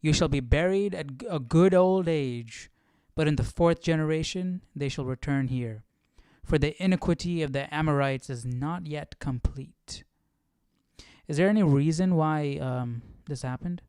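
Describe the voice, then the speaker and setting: Higher-pitched, clear-voiced casual man. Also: unhurried, darkish tone, no echo. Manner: informal, questioning, thinking aloud. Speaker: livestream host. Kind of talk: casual Bible study commentary, reading aloud and reflecting.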